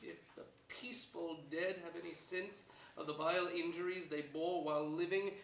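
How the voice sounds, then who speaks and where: A man's voice: fairly high pitch, steady tone, normal speed, a little hiss or hum, close to an everyday voice. A man playing a bitter, wronged nobleman, declaiming in a Shakespearean staged reading. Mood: bitter, vengeful. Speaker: stage actor. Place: theater stage. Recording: echoey theater hall.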